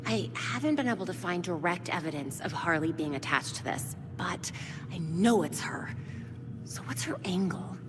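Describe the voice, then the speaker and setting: A woman's voice: lowish voice, expressive, moderate pace, natural voice. A woman playing a serious, determined young vigilante detective in a video game cutscene. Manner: earnest, focused. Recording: music behind.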